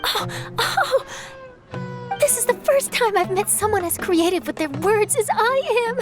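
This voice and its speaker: A woman's voice: very high voice, lively intonation, a little slow. An actress voicing a cheerful, curious young woman traveling with the group, in a game cutscene. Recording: game cutscene with loud music.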